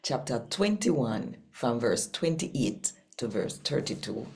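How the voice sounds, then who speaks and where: Very deep, unhurried Jamaican woman. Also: sing-song, creaky, mellow. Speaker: channel host and scripture reader. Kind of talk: devotional reading and channel welcome.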